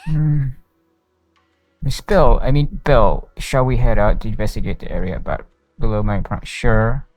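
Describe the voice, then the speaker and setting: A man's voice: mid-range voice, a little slow, darkish tone, no room sound. A man streaming a video game, casually commenting and reading dialogue aloud into a close mic with game music behind.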